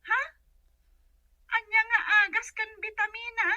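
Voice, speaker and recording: A woman's voice: very high voice, flat delivery, measured pace, sharp tone, dull audio, exaggerated voice. A woman voicing a young child in a radio drama, answering a parent in a hesitant, evasive, whiny way. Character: evasive young child covering for father on the phone. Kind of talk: Ilocano comedy radio drama acting.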